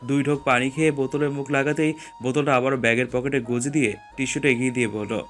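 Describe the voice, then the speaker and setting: A man's voice: medium pitch, fairly even intonation, fast, fairly bright tone, soft background music. A man reading scripted story narration as the narrator.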